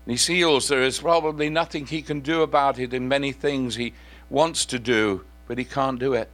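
A man preaching a sermon in church in a slightly croaky voice, asking earnest questions and singing parts of a hymn. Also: mid-range voice, steady tone, moderate pace, a bit bright.

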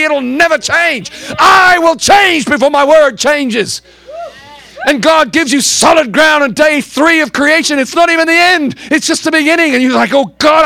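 A man preaches in a high-set voice with a gravelly edge, recorded very dry and close. His delivery is impassioned and theatrical, built on emphatic repetition. He slips into quoted dialogue as he drives the sermon along. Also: fairly bright tone, no echo. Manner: dramatic, exclamatory, repeats phrases for emphasis; acts out imagined dialogue between God and believer.

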